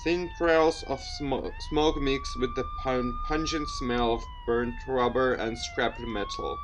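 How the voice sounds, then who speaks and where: Animated man reading a game aloud. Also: on the high side, takes it slowly, noisy background. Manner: stumbles over words while reading and repeats them. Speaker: gaming video host. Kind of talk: let's play, reading visual novel text aloud with commentary.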